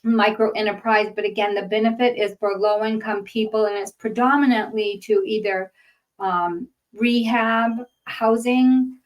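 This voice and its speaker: A woman's voice: mid-range voice, rough recording. An older woman from northern Maine with a Boston accent, teaching a grant-writing webinar in a chatty way with many ums and uhs. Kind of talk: webinar presentation. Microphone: webinar microphone.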